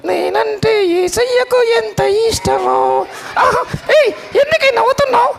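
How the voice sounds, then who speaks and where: A man voicing a cheeky, chatty little girl puppet in a squeaky falsetto, playful and bossy, for a children's puppet show. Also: measured pace, gravelly, a bit bright, faint music behind, exaggerated voice.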